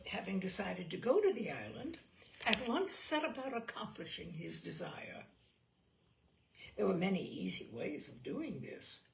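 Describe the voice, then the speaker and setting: A woman storyteller with a fairly high voice that swoops widely as she narrates. She takes her time, shaping each phrase deliberately and theatrically, and a creaky edge runs through her delivery. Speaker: storyteller reading a short story aloud. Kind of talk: expressive literary story narration.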